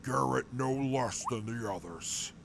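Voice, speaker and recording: Male actor as a slow, gravelly, no-nonsense defector soldier; audio drama. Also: medium pitch, slight background noise. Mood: blunt. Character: gruff male defector soldier, blunt and wary.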